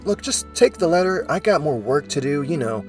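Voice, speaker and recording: A man plays a cheeky messenger in a cartoon parody. The voice is fairly high and lively, casual and offhand, sounding a little away from the mic. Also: a little fast, soft background music. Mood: cheeky, dismissive. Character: flippant, busy male messenger. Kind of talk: comedic fan-dub voice acting. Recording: distant from the mic.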